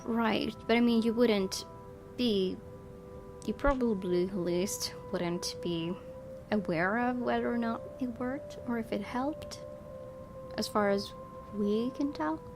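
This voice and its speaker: A woman's voice: fairly high pitch, slow pace. A woman hosting a gaming video, reading a visual novel's narration aloud and dropping in casual asides. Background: game music.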